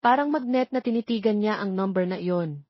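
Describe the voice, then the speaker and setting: A woman's voice: mid-range voice, brisk, no echo, highs cut off. A woman with a Filipino accent reading a scripted romance story as its narrator.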